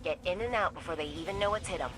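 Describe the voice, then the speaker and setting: A woman's voice: lowish voice, rapid-fire, music in the background, natural voice. Actress as breezy, wry female field operative. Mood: brisk, casual. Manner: casual, wry. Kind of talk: video game voice acting.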